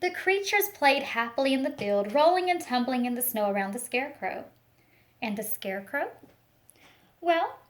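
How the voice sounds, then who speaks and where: A woman reading a picture book aloud to young children, expressive and speaking to them directly with teacher-like questions and asides. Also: a little high. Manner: expressive storytelling, talks directly to young listeners.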